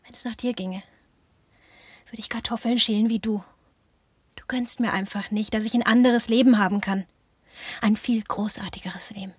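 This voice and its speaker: A radio drama heroine, a self-important postwoman with dreams of stardom. Her voice is fairly high and husky, recorded very dry and close. She insists on her way with stubborn defiance. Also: steady tone, moderate pace, pauses often, dry recording. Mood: hurt, intense. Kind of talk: German radio drama acting.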